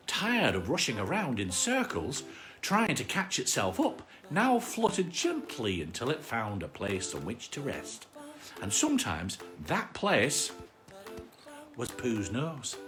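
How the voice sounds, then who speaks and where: A playful storytime reader with a mid-pitched voice that swoops dramatically. The delivery is live and slightly stumbling, with faint music behind it. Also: a bit bright. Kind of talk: animated children's story reading with asides to viewers.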